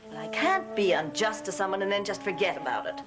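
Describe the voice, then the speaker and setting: Gothic soap mother female actor: middle-aged, genteel, dutiful worrier, animated intonation, hesitant politeness, music bed. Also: mid-range voice, fast talker, lightly acted. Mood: worried, reproving. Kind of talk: gothic soap opera acting.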